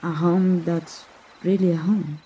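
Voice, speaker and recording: Casting: female voice actor as a romantic, adventurous young princess for ASMR roleplay. Mellow, dark tone, very airy and soft, earnest. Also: fairly low pitch, fairly even intonation, measured pace. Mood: shy, tender. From Mexico. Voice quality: soft, breathy. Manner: hesitant, tender, earnest. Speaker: amateur voice actor.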